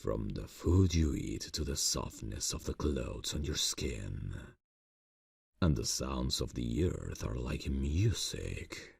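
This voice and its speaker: A man playing an ancient, courtly vampire boss in an ASMR fantasy roleplay, seductive and quietly menacing, speaking formally and deliberately. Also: sing-song, dry recording, exaggerated voice. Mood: persuasive, seductive. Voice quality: very deep, gravelly, breathy. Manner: slow, formal, silky, deliberate. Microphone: close microphone, dry sound.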